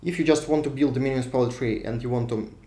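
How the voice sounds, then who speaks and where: A man's voice: medium pitch, steady tone, quick pace, mellow. Russian-accented man lecturing in an echoey room. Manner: repetitive restarts, thinks aloud while drawing. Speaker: university lecturer. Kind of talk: classroom lecture at a whiteboard. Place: lecture hall. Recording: echoey room, voice drifts off mic.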